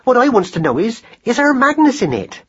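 Man as little mother mouse, storybook character, high-pitched, lively swoops, snappy, anxious, homely ungrammatical talk. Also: fast, husky, lo-fi sound, exaggerated voice. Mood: snappish. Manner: snappy, colloquial and ungrammatical speech.

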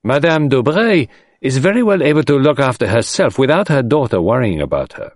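A man acts out a middle-aged detective from a storybook. His pitch rises and dips freely as he speaks, gently ironical and emphatic, always courteous and shrewd. The sound is close and dry. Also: big pitch swings, a little fast, no room sound. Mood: emphatic, confiding. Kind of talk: audiobook character voice.

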